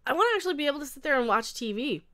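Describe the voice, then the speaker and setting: A woman's voice: high voice, expressive, very fast, pushed and bright. A young woman with a cold and a stuffy nose, from Colorado, hosting a casual live stream and chatting with viewers in a rambling way with frequent laughs. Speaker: livestream host.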